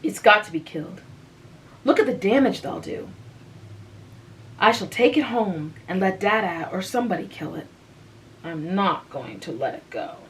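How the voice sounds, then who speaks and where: A woman's voice: medium pitch, sing-song, normal speed, pauses often, far from the mic, slight background noise, lightly acted, poor audio. A woman voicing a blunt, careless young woman who speaks in a teasing, matter-of-fact way.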